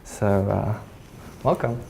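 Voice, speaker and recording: Soft-pitched Japanese man giving a halting gallery talk. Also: fairly even intonation, vocal fry, slight background noise. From Hong Kong. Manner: halting, many fillers and restarts. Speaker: glass artist.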